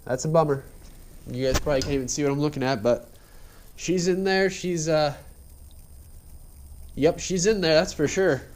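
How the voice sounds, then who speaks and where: A man's voice: a little high, steady tone, normal speed, long pauses, darkish tone, a little hiss or hum. A man with a congested, slightly nasal voice talks casually through his car build while he works, heard through a head-mounted camera mic in a garage. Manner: laid-back, rambling, filler-heavy. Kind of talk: casual how-to narration while working. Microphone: head-mounted action camera.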